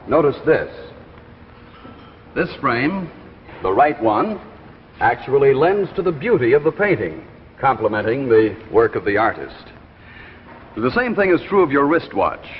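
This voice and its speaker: An American man presents like a 1950s commercial announcer, polished and emphatic. His delivery is very animated. The old broadcast sound is muffled and reverberant, with faint noise. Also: medium pitch. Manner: polished, emphatic, addressing viewers directly. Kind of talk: 1950s live television sales pitch. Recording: dull, hissy 1950s broadcast, long reverb.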